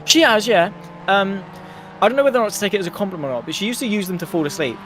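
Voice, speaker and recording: British co-commentator, kinda high voice, rambles and laughs at himself, noisy car sounds behind. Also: male voice, rapid-fire. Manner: rambling, self-deprecating, laughs often. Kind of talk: live sim racing commentary and banter.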